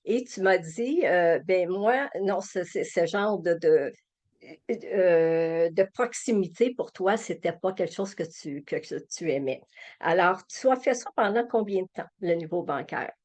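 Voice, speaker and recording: A woman's voice: fairly low pitch, lively intonation, measured pace, a little mellow. A woman hosting an interview, asking long, hesitant questions with fillers and restarts. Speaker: interview host. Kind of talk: warm conversational interviewing.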